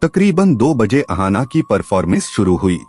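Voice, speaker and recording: Male narrator for dramatic audio fiction: low register, highly varied melody, soft music bed. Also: sing-song, moderate pace, husky. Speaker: storyteller narrator. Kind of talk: dramatic scripted story narration. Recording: music behind the voice.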